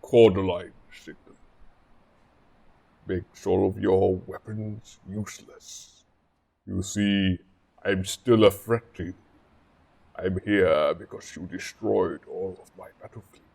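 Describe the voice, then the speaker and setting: A man's voice: sits low, fairly even intonation, takes it slowly, creaky, long pauses, darkish tone, husky, full character voice. An actor playing a proud, vengeful alien warrior general in an audio drama, pompous and threatening in his declamation. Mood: menacing, booming.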